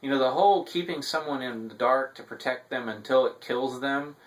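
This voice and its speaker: Male reviewer, mid-pitched voice, semi-scripted commentary, hesitant delivery with frequent filled pauses. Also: poor audio. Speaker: TV episode reviewer.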